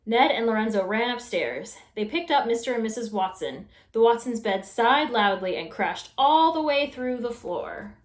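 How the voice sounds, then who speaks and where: High-voiced woman reading in echoey room. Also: expressive, normal speed, hardly any pauses. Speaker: storytime reader. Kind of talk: expressive children's story reading. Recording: roomy echo.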